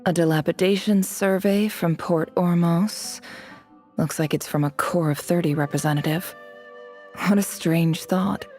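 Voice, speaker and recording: A woman's voice: fairly low pitch, steady tone, unhurried, darkish tone, soft background music, close to an everyday voice. A woman playing a disillusioned young academy student in a video game, talking in a wry, musing way. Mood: curious, musing. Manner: musing aloud, wry, reading documents out. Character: disillusioned young female academy student from the desert, cynical but curious. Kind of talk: video game dialogue acting.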